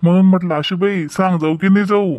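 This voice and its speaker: Man playing a middle-aged village dad, fairly high voice, Varhadi Marathi accent, practical, folksy, cartoon skit. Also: fairly even intonation, a little fast, highs cut off, close to an everyday voice. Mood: cheerful, inquiring. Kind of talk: homemade cartoon family skit acting.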